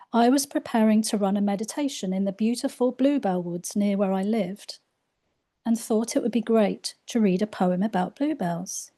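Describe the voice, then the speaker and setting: A woman reading aloud from her own spiritual book in a soft, unhurried way, her voice a little croaky. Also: medium pitch. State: slightly croaky voice. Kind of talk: slow gentle book reading.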